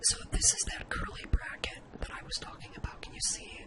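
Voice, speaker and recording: An unvoiced, breathy whisper from a tutorial presenter, sex hard to tell. The delivery is informal ASMR, thinking aloud through each step, with computer keys tapping behind the voice. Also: fairly quick. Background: computer keyboard typing.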